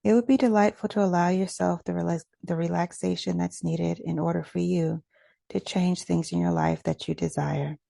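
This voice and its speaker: A woman leading a guided meditation in a gentle, measured, instructional way. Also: lowish voice, fairly even intonation, creaky, no echo, clean studio sound.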